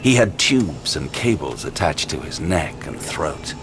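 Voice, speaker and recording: Detective storyteller, deep voice, grave, terse, suspense narration, musical underscore. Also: male voice, sing-song, a little slow, over music, full character voice. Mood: tense suspense. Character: grim male detective recounting a horror case. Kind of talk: first-person dramatic narration.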